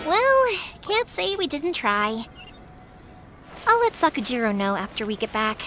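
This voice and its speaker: A woman's voice: high-pitched, big pitch swings, takes it slowly, background noise, full character voice. An actress playing a kind, spirited young woman in a video game, protective and upbeat. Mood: understanding. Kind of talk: English video game voice acting.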